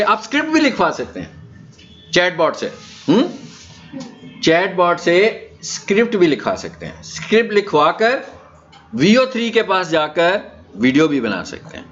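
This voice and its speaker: A man's voice: high voice, fairly bright tone. A man with a Pakistani accent giving an informal, conversational lecture as a trainer, explaining things and checking in with rhetorical questions. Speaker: digital marketing trainer. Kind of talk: informal lecture in an orientation session. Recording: dull, lo-fi session audio.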